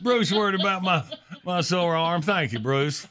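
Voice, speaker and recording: A man's voice: high voice, normal speed, a bit bright, a little hiss or hum. A man hosting a morning radio show, bantering and laughing often. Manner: laughing, playful.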